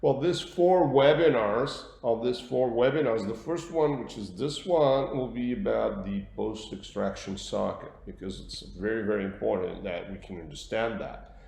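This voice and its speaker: A man with a Portuguese accent presenting an instructional webinar as a dentist, repeating words for emphasis in halting phrases. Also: lowish voice, vocal fry, a little mellow. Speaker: dentist giving a webinar lecture. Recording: roomy echo with light background noise.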